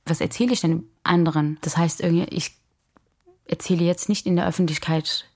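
A woman's voice: on the low side, fairly even intonation, a little fast, a little mellow. A woman talking reflectively as an activist in an interview, emphatic and often seeking agreement with short tag questions. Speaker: migrant activist.

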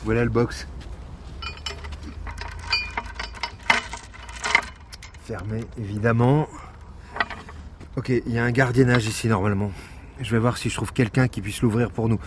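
A man's voice: mid-range voice, steady tone, brisk, noisy background, natural voice. Dry, world-weary male detective played by an actor. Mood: brisk, weary. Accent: French. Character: gruff, sarcastic, world-weary male police investigator. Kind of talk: naturalistic audio drama acting.

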